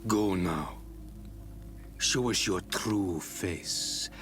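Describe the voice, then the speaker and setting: An actor playing a solemn, testing spiritual leader of an island people in a video game, speaking in a deliberate, ceremonious way. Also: male voice, deep voice, a bit bright, full character voice. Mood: solemn, measured. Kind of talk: video game dialogue acting.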